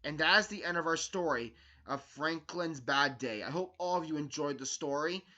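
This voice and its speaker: A man with a high-pitched, very bright and forceful voice reads a children's storybook aloud. His delivery is expressive and emphatic, like a host presenting a read-along. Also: moderate pace.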